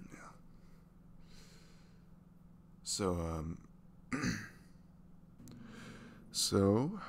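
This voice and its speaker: Man acting deep-voiced, tired young single dad. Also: vocal fry, slight background noise, heavily acted. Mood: amused, fond. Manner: hesitant, halting, softly chuckling. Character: sweet, tired single dad in his twenties. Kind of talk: intimate ASMR boyfriend-style roleplay.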